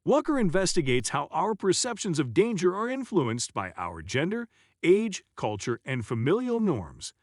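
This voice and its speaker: Male narrator for scripted reviews: highly varied intonation, formal phrasing, slightly high voice. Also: very animated, moderate pace, no echo, clean studio sound. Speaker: narrator of an audiobook ranking video.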